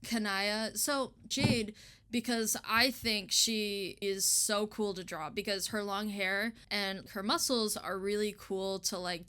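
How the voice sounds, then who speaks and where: A woman's voice: medium pitch, pushed and bright, smooth. A woman speaks in a mid-range voice as an illustrator being interviewed. She chats casually and informally, with a giggly, self-deprecating manner.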